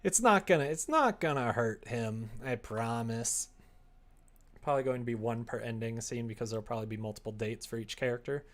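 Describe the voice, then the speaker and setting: Guy streaming while he writes, medium pitched voice, casual chatty, just thinking out loud. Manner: thinks aloud, reads typed prose slowly and haltingly. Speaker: streamer writing a visual novel. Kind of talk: casual livestream chat, murmuring draft lines while writing.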